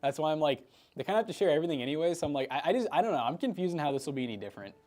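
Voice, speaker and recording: A man's voice: on the high side, animated, racing, a little mellow. A young man co-hosting a livestream, chatting casually, laughing often and restarting his sentences. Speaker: livestream co-host. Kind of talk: casual chat.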